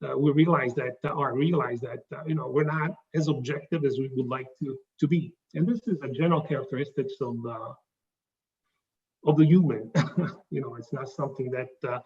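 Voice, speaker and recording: A man with an Italian accent giving a pitch presentation, talking haltingly with many fillers and restarts. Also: steady tone, distant mic, lo-fi sound. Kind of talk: pitch presentation to a live audience.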